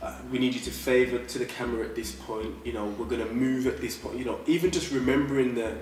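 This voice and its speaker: A man's voice: mid-range voice, steady tone, fast, distant mic. Emphatic man, echoey and off-mic. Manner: emphatic, explanatory, repeats for stress. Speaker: actor and director guest. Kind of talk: casual panel chat. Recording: roomy echo, off-mic, faint background hum.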